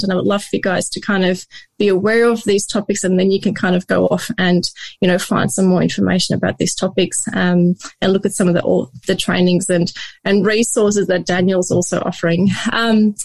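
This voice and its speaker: A woman's voice: medium pitch, dry recording. A woman hosting an online session, talking in an upbeat, encouraging way. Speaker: host of a thesis support session.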